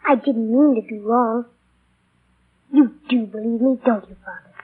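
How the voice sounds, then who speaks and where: A woman playing a young, excitable schoolboy son in 1940s radio drama, with a wheedling, overly innocent manner. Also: on the high side, moderate pace, slightly rough. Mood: innocent, pleading. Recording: tinny, narrow 1940 radio broadcast.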